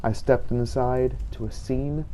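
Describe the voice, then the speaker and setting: A man's voice: mid-range voice, steady tone, measured pace, dark tone, a little hiss or hum, natural voice. A man narrating a game roleplay as a grim, devout vampire hunter, in a solemn and formal storytelling manner. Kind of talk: scripted in-character story narration.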